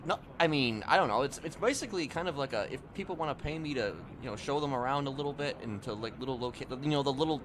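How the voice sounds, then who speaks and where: A man's voice: mid-range voice, expressive, background noise, close to an everyday voice. Man acting a hesitant middle-aged guy, swingy intonation. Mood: hesitant, evasive. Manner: halting, rambling, trailing off. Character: hesitant, evasive middle-aged man, Mr. Smiley, offering city tours. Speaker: livestreaming role-play gamer. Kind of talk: improvised in-character game chat. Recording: game audio with music behind. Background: music and other players' voices.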